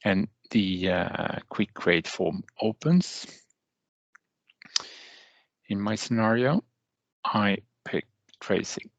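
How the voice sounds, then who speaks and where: A man narrating a step-by-step software tutorial as a trainer, with halting, deliberate phrasing and hesitant pauses. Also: fairly low pitch, no echo, lo-fi sound.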